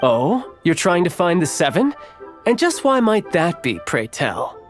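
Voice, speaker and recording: An actor playing a suave, sly agent in a video game, theatrical and smooth, with formal, teasing phrasing. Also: male voice, sits high, very animated, measured pace. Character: suave, sly male Fatui agent, polite schemer. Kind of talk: scripted video game character dialogue. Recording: game music behind the voice.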